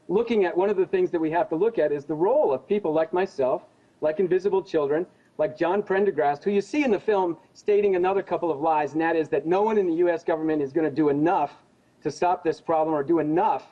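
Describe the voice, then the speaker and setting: Emphatic American man, high-pitched and mellow. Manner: emphatic, accusatory, insistent. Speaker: interview guest, genocide investigator. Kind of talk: opinionated news interview commentary. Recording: dull lo-fi audio.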